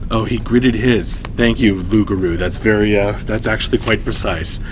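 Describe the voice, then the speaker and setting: A man's voice: on the low side, steady tone, moderate pace, a little hiss or hum. A man hosting a live story reading, narrating and chatting casually with hesitant, self-deprecating asides. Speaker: storytime host and reader.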